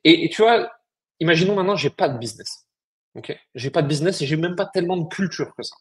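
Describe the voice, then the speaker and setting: A man's voice: a little high, expressive, fast. A man co-hosting a business podcast, explaining his points bluntly in casual, slangy chat. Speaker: podcast co-host, agency founder.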